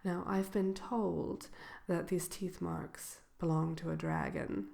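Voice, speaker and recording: A woman playing a polite, slyly malicious wicked witch selling brooms in a soft, hesitant, unhurried ASMR roleplay. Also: lowish voice, fairly even intonation, gravelly, full character voice. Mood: genteel, unhurried. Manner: hesitant, unhurried, genteel shopkeeper patter. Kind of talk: ASMR roleplay, soft-spoken and partly whispered.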